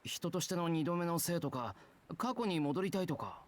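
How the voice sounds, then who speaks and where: A man's voice: on the high side, animated, fast, natural voice. An actor playing an earnest, straightforward young man in a visual novel, sincere and politely curious. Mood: probing. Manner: sincere, plain-spoken. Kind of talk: Japanese visual novel voice acting.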